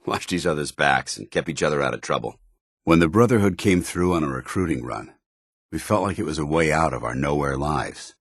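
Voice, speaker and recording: An actor playing a stern, loyal, idealistic soldier companion in a video game, with a clipped, earnest, authoritative military delivery. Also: male voice, extremely low pitch, husky, dry recording, clean studio sound, full character voice. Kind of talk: video game character dialogue.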